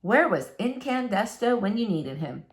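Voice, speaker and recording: Animated woman reading a children's story. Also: mid-range voice, moderate pace. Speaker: storybook reader. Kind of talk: expressive read-aloud narration for children.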